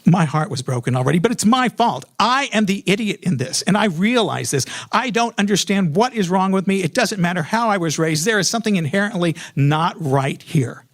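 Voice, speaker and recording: Male live storyteller. Highish gravelly voice, theatrical comic timing, very animated. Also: a little fast, hardly any pauses. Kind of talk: live comedic personal storytelling.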